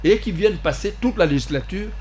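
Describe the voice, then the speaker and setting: A man from the Central African Republic, a party president speaking as a guest in a panel debate, halting, repeating words and pressing his points. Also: high voice, darkish tone, a little hiss or hum. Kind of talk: panel talk show debate.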